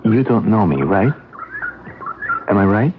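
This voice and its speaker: A lovestruck, earnest suitor in a seventies radio play. His voice sits a little low as he pleads. The old broadcast is narrow and noisy. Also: sing-song, a little slow. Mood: earnest, agitated. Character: earnest, lovestruck man pleading with a near stranger. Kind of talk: 1970s radio drama acting.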